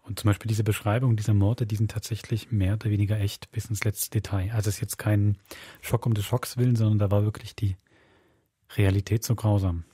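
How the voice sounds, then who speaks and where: A man's voice: lowish voice, level tone, brisk, mellow, breathy edge, no room sound. A man hosting a podcast, explaining things in a casual, conversational way. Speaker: podcast host. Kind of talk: casual explanatory chat about a radio play.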